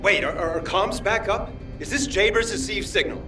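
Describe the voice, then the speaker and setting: Man playing young male game comrade, high pitch, chatty, excitable, scatterbrained, music behind. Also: moderate pace, recorded from a distance, close to an everyday voice. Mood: excited, rambling. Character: cheerful, scatterbrained young male comrade fond of feeding people. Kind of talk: video game cutscene acting. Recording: off-mic, music or noise behind.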